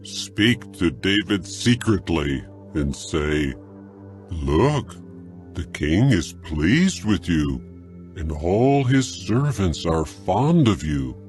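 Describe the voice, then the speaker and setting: An actor playing a jealous, scheming older king in a dramatized scripture audio drama, speaking slowly and broodingly. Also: deep voice, big pitch swings, darkish tone, soft background music, full character voice. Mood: calculating, falsely gracious.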